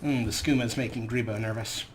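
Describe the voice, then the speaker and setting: A man's voice: mid-range voice, steady tone, fast, a little hiss or hum. A man giving casual, playful, improvised commentary as he plays a video game, often talking to the characters. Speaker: let's play host. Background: video game ambience.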